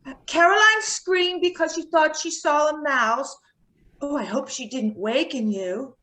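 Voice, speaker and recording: An actress in an amateur old-time radio play, voicing a frightened older aunt who pleads and stammers. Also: female voice, sits high, unhurried, sharp tone. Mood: nervous, polite. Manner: fluttery, pleading, hesitant.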